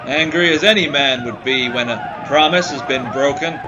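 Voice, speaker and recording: A man's voice: medium pitch, normal speed, dull audio, over a noisy crowd, natural voice. An actor playing a brave, protective frontier gunman in a western audio drama, with plain-spoken cowboy phrasing. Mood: grim. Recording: noisy outdoor-sounding recording.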